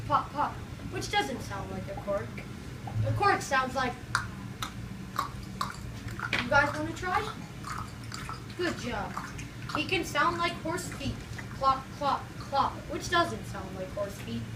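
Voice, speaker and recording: A woman teacher reads a picture book to small children in an animated, high voice. She prompts them to join in and encourages them as she goes. She is picked up from a distance in a roomy space with loud background noise. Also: measured pace, long pauses. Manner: encouraging, prompting, acting out sound effects. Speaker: children's story-time teacher. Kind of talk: read-aloud picture book to young children, interactive with questions.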